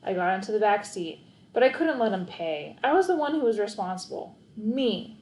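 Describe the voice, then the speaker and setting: A woman's voice: mid-range voice, expressive, normal speed, darkish tone. A woman narrating a children's novel aloud as a storybook reader. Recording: echoey, roomy sound.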